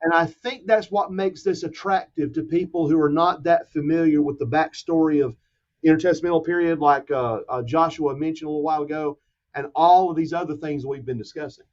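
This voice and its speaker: A man in his fifties, speaking as a guest expert in a podcast discussion with a measured, explanatory manner. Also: a little high, dull audio. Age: 50s.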